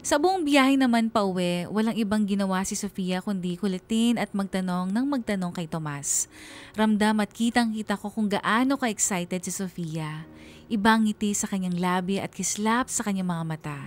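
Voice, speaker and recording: A woman's voice: medium pitch, normal speed. A woman with a Filipino accent reading scripted first-person narration for a radio drama. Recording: music behind the narration.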